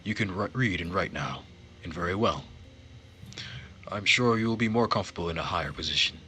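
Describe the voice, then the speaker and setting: A man voicing a gentle, earnest, quietly sorrowful young nobleman in a visual novel, speaking formally and in measured phrases. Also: sits low, expressive, slight background noise. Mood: kind. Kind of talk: visual novel character read-aloud. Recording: music behind the voice.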